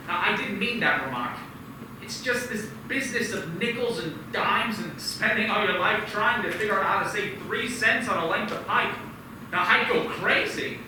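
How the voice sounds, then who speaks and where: A man's voice: high voice, natural voice. An actor playing a restless young man who dreams of leaving his small town, earnest and eager, sometimes stammering. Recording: echoey room, far from mic, noisy.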